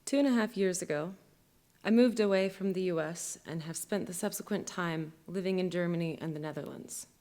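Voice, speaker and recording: A young American woman giving a measured, earnest spoken essay from a theater stage, as music plays behind her. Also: on the low side, darkish tone. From United States. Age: young adult. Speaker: violinist and speaker.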